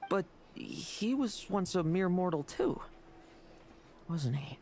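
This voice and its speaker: A man's voice: high voice, sing-song, slow, gravelly, darkish tone, slight background noise, exaggerated voice. A man playing an earnest, restless, self-critical young knight in a video game. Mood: pensive.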